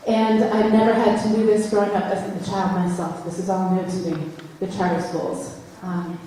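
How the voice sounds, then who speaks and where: A woman's voice: fairly low pitch, flat delivery, normal speed, warm tone, slight background noise. A woman and mother testifying at a public hearing, earnest and pleading. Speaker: parent testifying. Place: large hall, indoors. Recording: echoey hall from far off.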